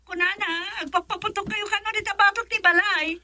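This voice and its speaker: A woman's voice: extremely high pitch, steady tone, a little fast, bright, forceful tone, full character voice. A woman playing an anxious young wife in a Filipino radio drama, urgent and pleading, with a Filipino accent.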